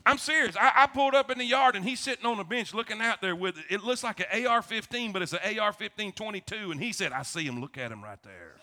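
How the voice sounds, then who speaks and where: A male pastor with a Southern US accent, preaching in a folksy, animated storytelling way and repeating phrases for emphasis. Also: high voice, quick pace, hardly any pauses. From South Florida, United States. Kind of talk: sermon, folksy storytelling to a congregation.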